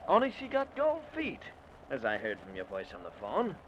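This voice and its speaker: A man's voice: mid-range voice, wildly swooping pitch, normal speed. A man playing a gloating, taunting murderer in an old-time radio thriller, with theatrical delivery. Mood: gloating menace. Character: gloating male murderer posing as a ghost. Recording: dull, noisy vintage radio recording.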